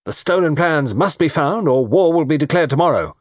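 A man voicing a flippant, lovesick young society gentleman with a theatrical, bantering, mock-gloomy way of talking. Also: on the high side, lively intonation, brisk, no room sound, clean studio sound, pitched above the performer's own voice.

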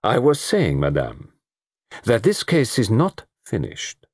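A man's voice: on the low side, huge pitch swings, slow pace, a little mellow, no room sound, clean studio sound, voice pushed up, full character voice. A man voicing a shrewd, precise middle-aged male detective with a put-on French accent. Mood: commanding. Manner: precise, formal, probing questions. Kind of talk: audiobook character voice.

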